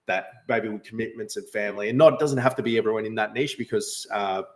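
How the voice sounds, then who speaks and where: A man's voice: on the low side, fast. A man, hosting a casual live stream and answering chat questions with many fillers and restarts. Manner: rambling, frequent fillers and restarts. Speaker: livestream host answering chat.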